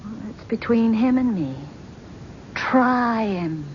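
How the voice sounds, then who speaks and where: An actress playing a devoted, wounded wife in a 1970s radio drama, tender and pleading. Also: female voice, a little high, lively intonation, slow pace. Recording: dull old radio broadcast with faint hiss.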